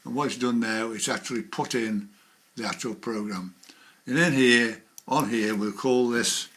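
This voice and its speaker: A man with a bright, clear-cut voice of middling pitch gives an informal tutorial. He talks through each step while doing it, in a practical, hands-on manner. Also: unhurried. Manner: hands-on, talking through each click as he goes. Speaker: presenter of a how-to video. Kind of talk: informal step-by-step software demonstration.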